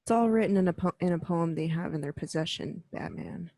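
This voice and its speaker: A woman's voice: fairly low pitch, fairly quick, dark tone, dry recording, studio quality. A woman voicing Batgirl, a prim, rule-abiding young crime-fighter, in an amateur radio play.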